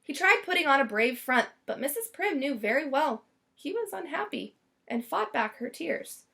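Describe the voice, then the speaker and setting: A woman reading a children's picture book aloud as storyteller, expressively and with deliberate dramatic emphasis. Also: high-pitched, big pitch swings, gravelly, pushed and bright.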